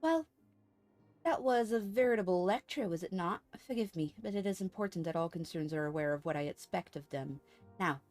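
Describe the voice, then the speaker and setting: A woman's voice: fairly low pitch, animated, a little fast, darkish tone, no room sound, faint music behind, close to an everyday voice. A woman voicing an earnest, formal lady leader of a secret order in a fantasy game, with courtly speech.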